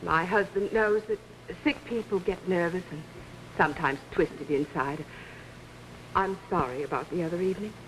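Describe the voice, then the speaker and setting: An actress playing a conductor's gracious, candid and faintly uneasy wife in a 1950s television drama. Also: female voice, fairly low pitch, normal speed, slight background noise, close to an everyday voice, low-quality recording. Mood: polite, strained.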